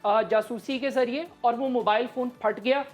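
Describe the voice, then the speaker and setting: High-voiced Pakistani woman giving emphatic analysis. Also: steady tone, normal speed, faint music behind. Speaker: analyst on a current affairs show. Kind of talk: live political commentary.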